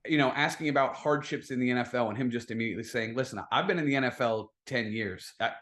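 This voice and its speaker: A man's voice: medium pitch, quick pace, a bit bright. A man speaking informally and emphatically as a guest in a casual sports chat, telling stories with plenty of slang. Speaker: guest, football video production staffer.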